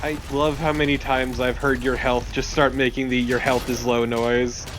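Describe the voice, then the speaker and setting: A man's voice: mid-range voice, level tone, moderate pace, background noise. A man co-commentating on a video game in casual banter, teasing and wry, swearing freely. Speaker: let's play co-commentator. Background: game music and sounds.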